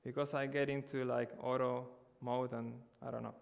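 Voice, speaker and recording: A man preaching a sermon in earnest, slowing down to stress key words. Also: mid-range voice, flat delivery, vocal fry, big-room sound. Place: church hall.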